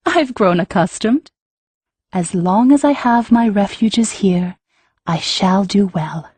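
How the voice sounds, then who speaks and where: Poised empress, played by a woman, mellow and slightly husky, formal with dry irony. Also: mid-range voice, expressive, no echo. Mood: wry, regal. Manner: formal, measured, dryly ironic. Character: regal, wry empress, poised and cool.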